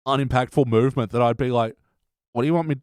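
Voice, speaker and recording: A man's voice: medium pitch, fast talker, darkish tone, dry recording, clean studio sound. A man co-hosting a podcast in blunt, sweary, casual chat about a TV show. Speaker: podcast co-host.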